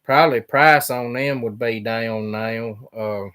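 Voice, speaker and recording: A man's voice: medium pitch, slow pace, a bit bright, smooth. A man, a farmer chatting casually on a livestream and answering viewer questions. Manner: drawling, plainspoken, trails off mid-sentence.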